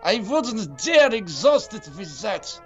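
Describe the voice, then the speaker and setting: A man's voice: high-pitched, sing-song, slow pace, muffled recording, faint music behind, full character voice. A man playing a bitter, grandiose audio drama villain, an evil brain housed in a frail, decaying body. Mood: bitter, sneering. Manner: theatrical, bitter, grandiose ranting. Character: villainous Hitler brain inside a rotting, frail stolen body.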